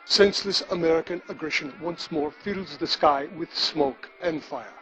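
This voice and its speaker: A man's voice: a little high, normal speed, fairly bright tone, dull audio, close to an everyday voice. An actor playing a solemn, partisan male war correspondent delivering a scripted news report in a video game. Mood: solemn, defiant.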